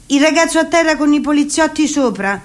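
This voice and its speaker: Woman playing female immigrant witness, high voice, halting simple phrases, distressed, radio drama testimony. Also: expressive.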